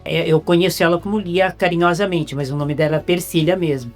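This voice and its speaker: A woman's voice: on the low side, fairly even intonation, brisk, a little mellow, soft background music. A woman with a Brazilian Portuguese accent hosting an interview in a talkative, casual way. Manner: talkative, lists ideas at length. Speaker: interview host.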